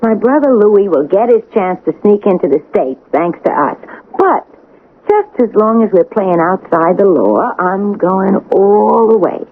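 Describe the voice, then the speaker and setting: A woman's voice: mid-range voice, expressive, a little slow, narrow, tinny audio. Scheming woman crook, thin broadcast. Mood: scheming, coaxing. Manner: controlling, conspiratorial. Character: scheming, cool-headed woman crook running the plan. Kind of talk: 1980 radio drama acting. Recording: band-limited old radio broadcast, some noise.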